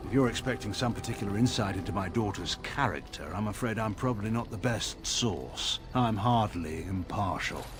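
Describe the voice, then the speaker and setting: An actor playing a proud, stern middle-aged general and father in a fantasy game, speaking in a grave, measured, formal way with dry sarcasm. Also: lowish voice, over music, close to an everyday voice. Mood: curt, guarded. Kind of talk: dramatic fantasy game dialogue.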